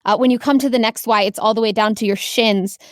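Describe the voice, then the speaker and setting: A woman's voice: on the high side, fairly even intonation, fast talker, no echo, clean studio sound. A woman narrating a tabletop role-playing game as the game master, describing scenes to players.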